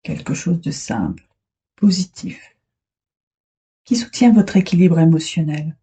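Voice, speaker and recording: A woman guiding a relaxation meditation as a yoga instructor, soothing and unhurried, speaking in short phrases with long pauses. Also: fairly low pitch, mellow, slightly rough.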